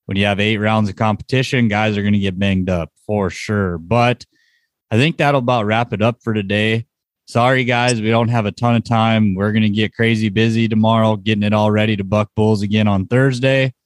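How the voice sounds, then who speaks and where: A man's voice: lowish voice, fairly even intonation, normal speed, dry recording. A man hosting a casual sports podcast, chatting informally about results and what is coming up. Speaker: podcast host.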